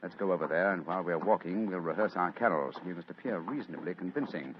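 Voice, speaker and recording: Man acting as a commanding male detective, fast-talking, lowish voice, dull old radio sound. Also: expressive. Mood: brisk. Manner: crisp, authoritative, quick-witted. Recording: dull old radio recording, faint hiss.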